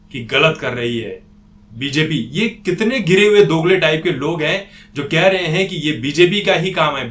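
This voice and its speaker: A man's voice: mid-range voice, fairly bright tone. A man giving an opinionated political commentary monologue in an indignant, accusatory manner. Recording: echoey room.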